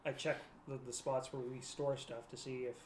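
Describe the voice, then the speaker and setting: A woman's voice: extremely low pitch, flat delivery, normal speed, over a noisy crowd. A woman playing a tabletop roleplaying game, chatting casually with the group. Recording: echoey room with other voices.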